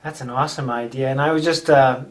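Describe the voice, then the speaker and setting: Man, wedding DJ, interviewer, upbeat, affirming, mid-pitched voice. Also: fairly even intonation, fast. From New York state. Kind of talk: casual interview hosting.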